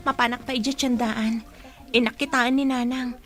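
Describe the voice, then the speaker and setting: A woman's voice: on the high side, little pitch movement, moderate pace, over music, lightly acted. An actress playing a young schoolgirl daughter who talks politely and dutifully in a radio drama. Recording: radio drama with crowd behind.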